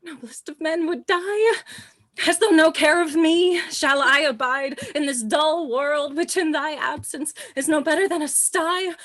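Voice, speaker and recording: A woman's voice: high-pitched, unhurried, fairly bright tone. A woman playing a grieving, imperious queen and lover in a Shakespearean radio play.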